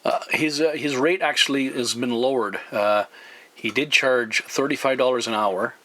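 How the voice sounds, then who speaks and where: A man chatting casually as a CB radio operator, explaining things in a halting way with radio sign-offs. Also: medium pitch, a bit bright. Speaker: amateur CB radio operator and video host.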